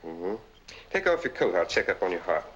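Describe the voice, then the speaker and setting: Brisk, forceful doctor in an old film. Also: male voice, low voice, very animated. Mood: probing, cool. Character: brisk, suspicious male sanitarium doctor in command. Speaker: actor. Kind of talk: 1940s film acting. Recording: dull 1940s film soundtrack, faint noise.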